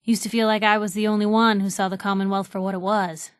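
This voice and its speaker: A woman's voice: mid-range voice, level tone, fast talker, smooth, no room sound, studio quality, lightly acted. A woman playing a spirited, sardonic young reporter in a post-apocalyptic video game. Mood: warm, upbeat. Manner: sardonic, teasing, plucky. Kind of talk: video game companion dialogue.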